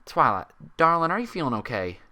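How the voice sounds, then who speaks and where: A man voicing a kindly female cowgirl pony doctor with a gentle American Southern drawl, in a storybook reading. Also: on the high side, big pitch swings, fairly quick, pitched above the performer's own voice. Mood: concerned. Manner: gentle, reassuring, folksy drawl. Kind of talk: storybook character voice in fan fiction reading.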